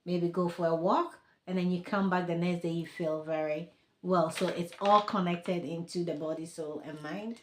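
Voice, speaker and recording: Low-voiced woman host in an echoey room. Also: fairly even intonation, moderate pace, a little hiss or hum. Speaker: podcast host. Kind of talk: casual interview.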